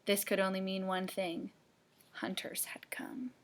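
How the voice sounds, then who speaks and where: A woman's voice: medium pitch, level tone, a little slow, slight background noise. Playful woman reading a storybook aloud. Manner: playful, dramatic, with hushed asides. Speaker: storybook reader for children. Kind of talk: expressive children's read-aloud narration.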